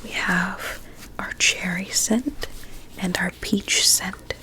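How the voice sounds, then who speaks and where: A woman's voice: medium pitch, fairly even intonation, lightly acted, poor audio. Woman acting a whispering, sweetly sinister evil doctor. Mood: soft, coaxing. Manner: slow, deliberate, soothing and formal. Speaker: ASMR roleplay performer. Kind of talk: whispered ASMR medical roleplay.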